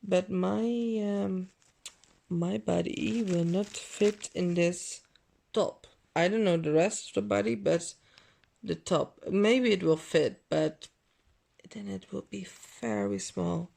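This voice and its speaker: Vlogger woman, gravelly fry, dry throat, meandering chatter, close handheld camera in a living room. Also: medium pitch, a little mellow. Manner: rambling, self-correcting, non-native phrasing; deliberately overdone mixed accent. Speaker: fashion vlogger. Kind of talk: casual unboxing haul chat.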